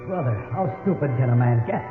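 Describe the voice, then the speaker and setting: A man's voice: mid-range voice, animated, brisk, music in the background, close to an everyday voice. An American actor playing an ordinary, wry book salesman and husband in a 1950s radio drama. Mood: anguished. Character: ordinary married book salesman, wry, easygoing, flustered by trouble. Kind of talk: 1950s radio drama acting, first-person narration and dialogue. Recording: tinny band-limited 1950s radio recording.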